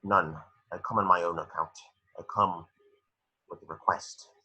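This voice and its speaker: A man voicing an anguished, bitter adult male wizard in a screenplay table read, with a halting delivery. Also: low voice, steady tone, bright, forceful tone, husky, exaggerated voice. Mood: fearful, urgent.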